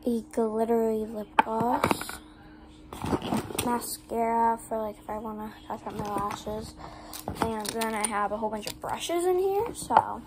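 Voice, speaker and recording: A woman's voice: a little high, a little slow. A young girl vlogging from her bedroom, chatting in a rambling way full of "like" fillers while filming on a phone camera. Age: 11, child. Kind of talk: casual vlog chat. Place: bedroom, indoors. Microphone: phone camera on desk. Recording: noisy room ambience.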